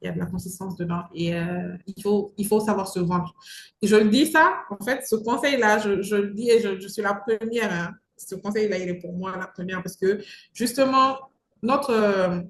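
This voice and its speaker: A woman's voice: mid-range voice, moderate pace. A young woman gives conversational advice as an interview guest, emphatically repeating phrases for stress. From Mali. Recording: roomy echo with faint background noise.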